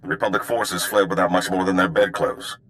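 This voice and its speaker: An actor playing a sly, easygoing underworld operative giving briefings in a video game. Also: male voice, low-pitched, fairly even intonation, a little fast, fairly bright tone, smooth. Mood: briefing, wry.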